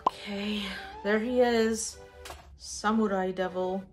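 A young woman talks in a leisurely, casual way, as if to online friends. She is a vlogger who gushes over cute things and addresses objects like little buddies. Her pitch sits in the middle for a woman, and music plays clearly behind her. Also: fairly even intonation, slow pace. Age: young adult. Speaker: vlogger unboxing collectible figures. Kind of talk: casual chat to viewers. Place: indoors, living room at home. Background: background music.

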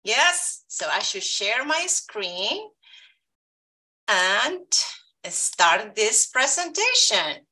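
Cheerful Peruvian woman, lively bright voice. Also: a little high, extremely slow, low-quality recording. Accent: Peruvian Spanish. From Peru. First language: Spanish. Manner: cheerful, encouraging, addresses children directly. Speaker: tropical biologist guest speaker. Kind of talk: friendly virtual classroom talk to kids. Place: home room. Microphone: video call.

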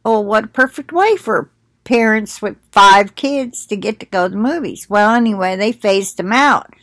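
A woman's voice: mid-range voice, animated, moderate pace, fairly bright tone, no room sound, clean studio sound. An older woman talking casually to her webcam from her living room couch, chatty and reminiscing as she reacts to a video. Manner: chatty, reminiscing, laughs often. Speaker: commentary channel host. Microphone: webcam at desk distance.

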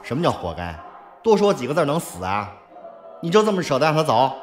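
A man's voice: a little high, big pitch swings, quick pace. A man acting a blunt, hot-tempered, loyal young henchman in a Mandarin audio drama, brash and outspoken. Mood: exasperated.

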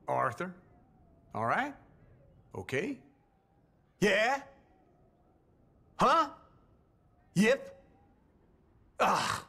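Western game role: folksy old American outlaw loafer, weathered voice, grumbling, slow delivery with big swings in pitch. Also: male voice, gravelly, sharp tone, slightly rough, heavily acted. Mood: genial, folksy. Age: older. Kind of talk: video game ambient greetings, western.

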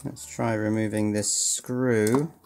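A man's voice: fairly low pitch, fairly even intonation, slow, background noise. A man giving casual, thinking-aloud commentary as he takes apart and repairs a toy at his workbench, with a dry, wry manner. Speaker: electronics hobbyist presenter. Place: indoors at a workbench.

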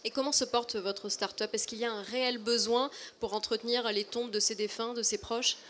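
Brisk young woman interviewer. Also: on the high side, steady tone, a bit bright, slight background noise. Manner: brisk, prompting questions. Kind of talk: trade fair interview. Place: trade fair studio booth. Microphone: handheld mic.

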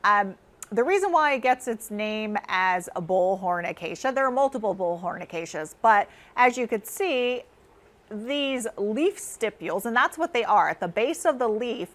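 A middle-aged American woman speaks at a medium pitch as an informal educator. She explains things conversationally and slips into expressive storytelling, as a presenter talking to camera would. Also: moderate pace. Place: inside a greenhouse conservatory.

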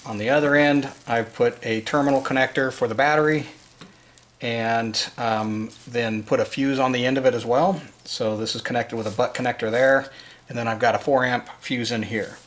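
A man's voice: medium pitch, steady tone, normal speed, a bit bright. Man giving a casual hands-on tutorial. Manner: informal, unscripted, explanatory. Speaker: presenter of a DIY wiring tutorial. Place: indoors at a work table in a room.

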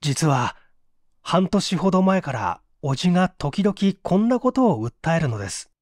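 A man voicing an anxious, flustered gentleman in a mystery story, earnest and defensive. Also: on the high side, big pitch swings, measured pace, dry recording, studio quality. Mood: anxious, confessing.

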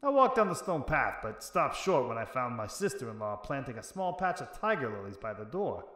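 A man's voice: medium pitch, sing-song, fairly quick, fairly bright tone, reverberant. A man playing a stubborn, wry 1940s private detective in a noir radio drama, speaking in terse, slangy tough talk on a close mic. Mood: brooding narration. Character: hard-boiled, stubborn 1940s private detective, gruff uncle. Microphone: close studio microphone, headset.

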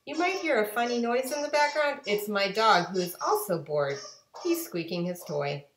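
A woman in her forties reading a children's storybook aloud in an expressive, deliberate storytelling manner. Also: medium pitch. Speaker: guest reader. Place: home kitchen and dining room indoors. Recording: roomy home kitchen echo.

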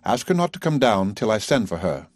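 A man's voice: lowish voice, big pitch swings, a little fast, darkish tone, dry recording, studio quality. A man voicing a composed, drily reproving older woman. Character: calm, dry-witted older mother, composed.

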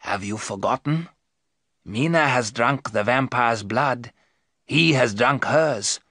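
Grave elderly professor, read by a man, measured and commanding, animated delivery, dry studio sound. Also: mid-range voice, no echo, full character voice.

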